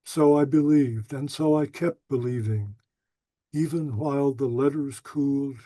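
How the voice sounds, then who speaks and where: A man speaking as a poet, introducing and reading his own verse aloud in a measured, deliberate way. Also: medium pitch, mellow, dry recording.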